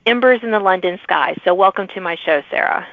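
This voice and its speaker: A woman speaks as a podcast host and interviewer. Her voice sits at a middle pitch. The sound is narrow like a phone line and very dry. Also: fairly quick, no room sound. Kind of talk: interview introduction and questions. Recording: dry, thin phone-like audio.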